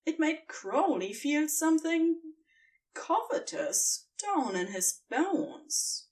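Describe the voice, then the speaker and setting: Female audiobook narrator for fiction, very slow and expressive, dramatic emphatic pauses, slightly distant from mic. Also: high voice.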